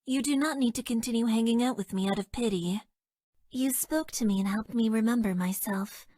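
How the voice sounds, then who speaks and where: A woman voicing a detached, self-deprecating young female mecha pilot in a video game, with deadpan, stilted and hesitant delivery. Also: fairly high pitch, a little fast, no echo, clean studio sound, natural voice. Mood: deadpan, resigned. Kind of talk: video game character voice lines.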